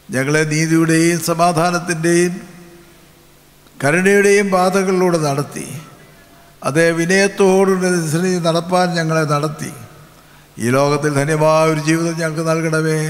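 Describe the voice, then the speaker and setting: A man's voice: fairly high pitch, fairly even intonation. Solemn older male bishop, echoing PA. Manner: solemn, measured, repeats phrases. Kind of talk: sermon and closing benediction. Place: large open-air convention tent. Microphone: stand mic. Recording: long hall reverb from PA.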